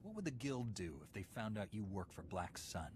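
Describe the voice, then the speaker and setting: A man's voice: lowish voice, very animated, brisk, a little hiss or hum. An actor playing a stern male Jedi knight hero in a video game, speaking in a firm, clipped, commanding way.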